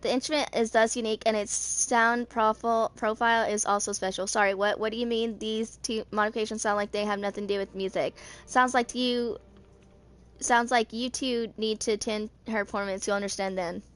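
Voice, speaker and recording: A woman in her twenties reading written game lore aloud in an amateur narration, haltingly, stumbling over words and rereading them. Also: fairly high pitch, fairly even intonation, a bit bright. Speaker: video creator reading game character lore.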